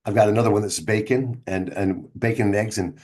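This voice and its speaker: Low-pitched middle-aged man telling his story. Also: fairly even intonation, brisk, a little mellow. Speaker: speaker and storyteller presenting own brand. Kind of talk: casual first-person storytelling to camera.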